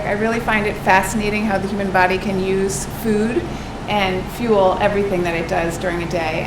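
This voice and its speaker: A woman nutrition consultant giving a lunch-time lecture in a quiet, soft-spoken voice with frequent fillers. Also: medium pitch. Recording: roomy echo, air-conditioning hum.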